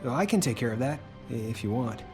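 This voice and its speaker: A man acting nervous, lively, eager-to-please underling. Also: mid-range voice, quick pace, over music, lightly acted. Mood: nervous, helpful. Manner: hesitant, stammering, deferential. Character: timid, eager-to-please, nervous adult male employee. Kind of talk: noir video game acting.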